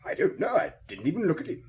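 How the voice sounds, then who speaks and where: A haughty, well-off man in a radio play. His voice is highish and very animated, defensive and indignant as he dismisses what is put to him. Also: creaky. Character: defensive, haughty well-to-do gentleman under questioning. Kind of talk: radio drama acting.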